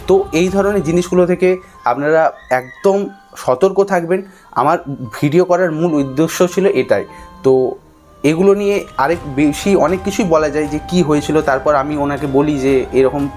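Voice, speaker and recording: Young male vlogger, higher-than-average pitch, unscripted cautionary talk to viewers, music underneath. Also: darkish tone.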